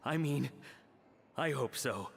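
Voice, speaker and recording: A man's voice: medium pitch, expressive, slow, vocal fry, crowd noise. An actor playing an earnest, anxious male chef and father in an anime-style game, polite and hesitant. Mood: eager, unsure. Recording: long reverb, faint background noise.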